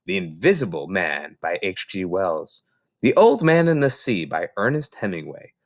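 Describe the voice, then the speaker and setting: A man reading a children's novel aloud as an expressive audiobook narrator. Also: sits low, normal speed, no room sound, studio quality. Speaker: storybook reader.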